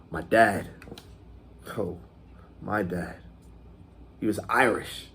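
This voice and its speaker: A man performing a dramatic monologue as a swaggering, foul-mouthed gangster reminiscing about his youth, halting and repeating words for emphasis. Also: lowish voice, a little hiss or hum.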